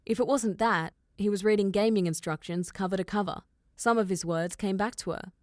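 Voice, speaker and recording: Female audiobook narrator, medium pitch, scripted storytelling, dry close recording. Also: fairly quick, dry recording.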